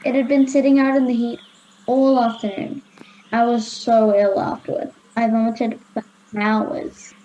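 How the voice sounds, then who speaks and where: A woman's voice: on the high side, little pitch movement, measured pace, warm tone, dull audio, a little hiss or hum, close to an everyday voice. A girl of ten or eleven, playing a bullied, resigned schoolgirl in a radio play. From Australia. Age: child, 10 to 11. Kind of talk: children's radio play acting.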